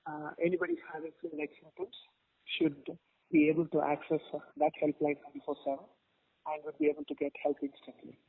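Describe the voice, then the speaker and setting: A man speaking as a doctor in a phone interview, giving formal, halting, measured explanations over a narrow phone line. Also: fairly high pitch, sing-song, gravelly, slightly rough. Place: phone call. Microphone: telephone.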